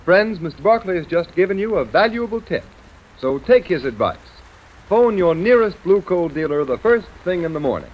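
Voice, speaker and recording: A man's voice: high voice, big pitch swings, moderate pace. A man announcing formally in a scripted 1930s radio style. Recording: dull, noisy vintage radio recording.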